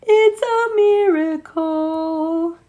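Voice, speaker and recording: A woman teacher reading a picture book aloud to young children, expressive and playful, with gushing, chatty asides. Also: extremely high pitch, drawn-out words, dull audio.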